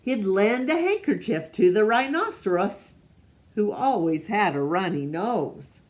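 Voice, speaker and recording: A woman reading a picture book aloud to young children as a storyteller, expressively and with pauses for questions. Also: mid-range voice, very animated, a little mellow.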